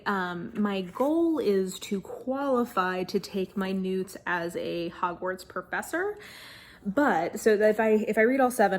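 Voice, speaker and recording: A woman chatting informally to camera as a book vlogger, hedging often with "like" and "kind of". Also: medium pitch. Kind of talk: casual chatty monologue to camera.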